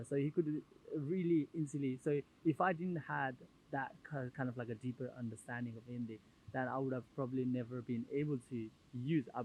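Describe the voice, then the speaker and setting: A man from Nepal, a native Nepali speaker, answering interview questions in a casual, halting way with many fillers and restarts. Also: mid-range voice, darkish tone, a little hiss or hum, low-quality recording. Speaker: podcast guest.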